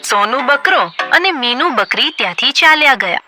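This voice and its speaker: Female storyteller for kids' animation, high register, scripted narration over a clear music bed. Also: animated, moderate pace.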